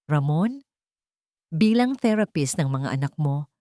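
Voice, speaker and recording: A calculating woman therapist, speaking with carefully tuned professional concern, as a woman narrator reads her lines. Her voice sits low and close, with a dry sound. She insinuates rather than accuses. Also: animated, measured pace, dark tone, no echo. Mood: feigned concern.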